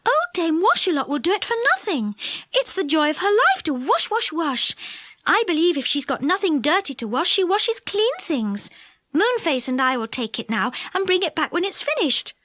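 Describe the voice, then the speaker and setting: A woman voicing a kind, eager young fairy girl in a bright, earnest storybook manner. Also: very high voice, very animated, moderate pace, no echo, clean studio sound, voice pushed up, full character voice. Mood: eager, helpful.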